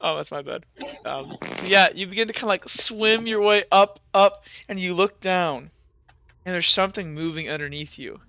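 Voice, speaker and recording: Bright-voiced tabletop game master. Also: mid-range voice, normal speed. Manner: descriptive, trailing narration with many fillers. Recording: dull lo-fi recording.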